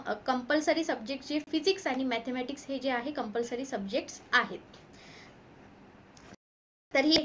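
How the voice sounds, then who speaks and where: A woman's voice: high voice, slight background noise. A woman with an Indian accent explaining like a coaching teacher, reading out points and switching between two languages. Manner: code-switches between Marathi and English terms. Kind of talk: explanatory lesson, reading notification points aloud.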